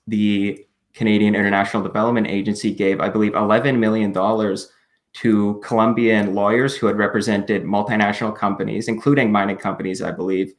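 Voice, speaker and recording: A man speaking as a podcast guest, explaining policy history in a measured way, often hedging and restarting with fillers. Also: lowish voice. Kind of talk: explaining politics in a podcast conversation.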